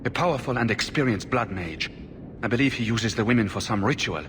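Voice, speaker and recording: A man's voice: mid-range voice, lively intonation, fairly quick, lightly acted. A man acting a desperate, vengeful blood mage in a video game, intense, defensive and insistent. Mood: grim, determined. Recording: noisy, long-echoing hall.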